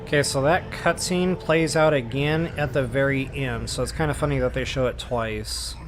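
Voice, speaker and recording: A man's voice: mid-range voice, fairly even intonation, normal speed. A man giving casual gameplay walkthrough commentary, talking straight to his viewers as a channel host. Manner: casual, talks directly to viewers. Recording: game music behind the voice.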